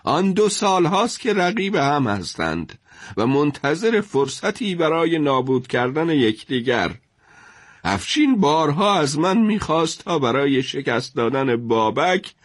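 A man's voice: on the high side, no room sound, studio quality, voice pushed up. A man playing a troubled, commanding caliph in a dramatised historical story, speaking in formal, emphatic literary phrases.

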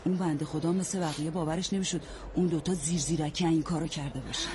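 A woman's voice: low-pitched, steady tone, a little mellow, natural voice. An actress playing a blunt, motherly older neighbor woman who talks in folksy sayings and bosses the young women around. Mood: grave. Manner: folksy, proverb-quoting, bossy. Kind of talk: radio drama acting. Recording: noisy radio-play recording.

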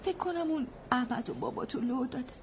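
An actress playing a frightened young woman who confesses her guilt in halting, tearful bursts. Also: sits high, slight background noise. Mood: confessing. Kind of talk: radio drama acting.

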